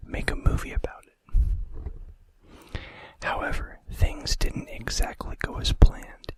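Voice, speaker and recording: A man hosting an ASMR video, narrating in a breathy, unvoiced whisper with a hushed, deliberate delivery and soft casual asides. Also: very high voice, rough recording.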